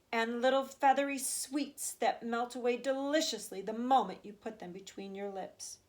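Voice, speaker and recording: A woman voicing an excitable, kindly old grandfather from a children's storybook, dramatic and savoring each detail. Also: medium pitch, lightly acted. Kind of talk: children's storybook read aloud.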